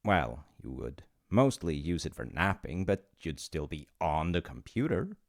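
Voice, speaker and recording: A man performs a chummy supernatural clerk of the afterlife for a comedic audio roleplay. The voice is low and creaky, swinging freely in pitch. He pitches deals in loose, hesitant, informal patter and bends rules like an old pal. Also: very animated, unhurried, exaggerated voice. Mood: upbeat pitching. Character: chummy, rule-bending afterlife reincarnation agent, male supernatural being.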